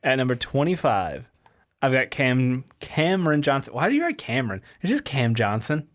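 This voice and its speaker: Mid-pitched man talking sports casually. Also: sing-song, normal speed. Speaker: sports show co-host and analyst.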